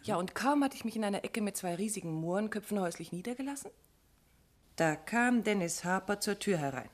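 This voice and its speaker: A woman plays an observant, affectionate detective's wife in a 1950s radio drama. Her voice sits at a middle pitch with a soft huskiness. She speaks in a chatty, confiding way, as if sharing what she has noticed. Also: lively intonation, a little fast, natural voice. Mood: gossipy, delighted. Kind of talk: 1950s German radio play acting.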